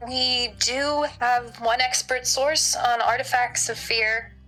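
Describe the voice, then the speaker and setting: Woman acting as unflappable occult researcher, crisp bright tone, fairly high, deliberate, matter-of-fact, audio drama. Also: fairly even intonation, faint music behind, rough recording. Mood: firm, pragmatic. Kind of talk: naturalistic scripted audio drama.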